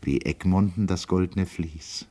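A man reading aloud and voicing a proud, wounded, sharp-tongued older woman in an audiobook, lifting his pitch for her lines. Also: steady tone, unhurried. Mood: mocking.

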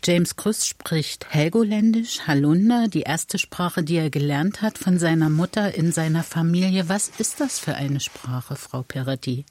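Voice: medium pitch, unhurried, few pauses, a little mellow. A radio interviewer asking precise, composed questions and steering the conversation.

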